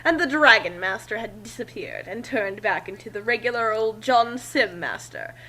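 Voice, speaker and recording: A woman's voice: on the high side, animated, moderate pace, bright, forceful tone, poor audio. A woman reading a story aloud as amateur dramatic narration. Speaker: narrator and co-reader.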